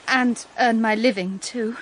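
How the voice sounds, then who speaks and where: An actress playing an earnest, idealistic young Victorian governess in a radio drama, speaking in a measured, heartfelt, literary way. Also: female voice, on the high side, steady tone, slight background noise, close to an everyday voice. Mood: shyly earnest. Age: young adult. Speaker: actress and narrator of a period drama. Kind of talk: period radio drama acting and narration.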